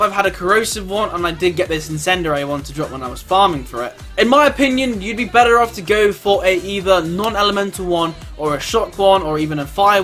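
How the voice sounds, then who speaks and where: A man's voice: sits high, bright, forceful tone. A man giving an informal gameplay review commentary, chatting directly to his viewers. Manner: informal, addresses viewers as guys. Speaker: video game reviewer and host.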